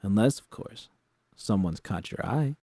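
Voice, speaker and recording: A man's voice: lowish voice, expressive, measured pace, mellow, slightly rough, no echo, heavily acted. A man voicing a wry, tough, teasing woman bartender with a slangy, frank manner in a story. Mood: frank, playful.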